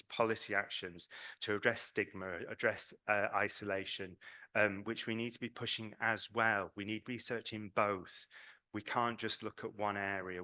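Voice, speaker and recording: A man's voice: on the low side, bright, forceful tone. A man from Britain with a British accent, presenting at a conference in an earnest, emphatic way as an advocate. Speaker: patient advocacy representative speaking at a conference. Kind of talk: conference presentation, semi-spontaneous.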